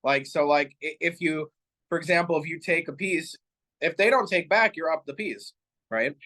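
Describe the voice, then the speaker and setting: A man co-hosting a casual chess stream, easygoing, explaining calmly and giving short replies. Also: on the high side, moderate pace. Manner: easygoing, brief affirming replies. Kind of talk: casual chat.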